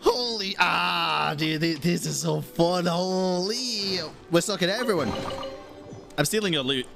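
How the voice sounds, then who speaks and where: A woman's voice: on the low side, lively intonation, measured pace, gravelly. A woman streamer giving casual, reactive live gameplay commentary in slangy exclamations. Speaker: game streamer. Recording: game music behind.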